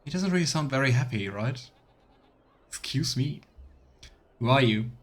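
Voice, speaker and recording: A man's voice: mid-range voice, animated, measured pace. A man doing casual let's play commentary as a game streamer, chatting and reading dialogue choices aloud.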